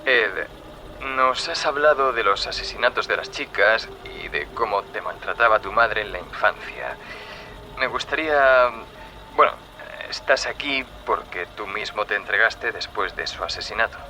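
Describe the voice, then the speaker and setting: Very sharp-voiced, cautious investigator. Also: male voice, on the low side, lively intonation, normal speed, a little hiss or hum, rough recording. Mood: careful, uneasy. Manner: careful, hesitant, formal. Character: cautious, uneasy investigator interviewing a serial killer. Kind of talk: Spanish radio drama acting.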